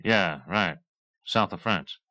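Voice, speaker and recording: A man playing a terse, guarded, quietly insolent war veteran in an audiobook. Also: low-pitched, slow, no room sound, full character voice. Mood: terse, skeptical. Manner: clipped, laconic.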